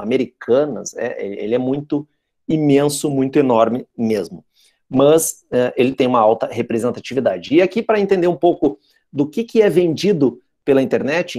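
Mellow-voiced Brazilian man lecturing. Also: mid-range voice. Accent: Brazilian Portuguese. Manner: conversational, frequent tag questions, restarts. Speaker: guest lecturer. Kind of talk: online lecture presenting slides. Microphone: video call.

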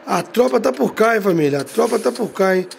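Hoarse Rio streamer man, high gravelly. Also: expressive, brisk, slight background noise. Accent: Brazilian Portuguese, Rio de Janeiro. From Brazil. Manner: slangy, drags out catchphrases, tag words drop low and quiet. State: hoarse from a cold. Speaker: game streamer. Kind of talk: hyped gameplay commentary.

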